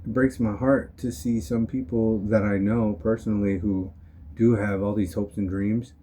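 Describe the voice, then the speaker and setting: A young man talking casually in a rambling motivational vlog, his voice still hoarse from being sick. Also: fairly low pitch, a little slow, slight background noise. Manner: relaxed, rambling, frequent "you know" and "um". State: hoarse from recent illness. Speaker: vlogger.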